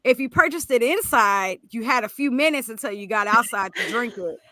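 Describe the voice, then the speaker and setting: A woman's voice: a little high, a little fast. A woman co-hosting a casual podcast chat, telling stories with animation and lots of fillers. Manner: animated storytelling, many fillers. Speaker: podcast co-host.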